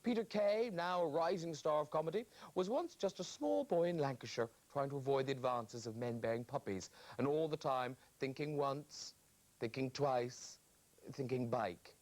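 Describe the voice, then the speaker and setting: A man's voice: medium pitch, moderate pace, a little mellow. A man reading wry scripted narration for a television documentary.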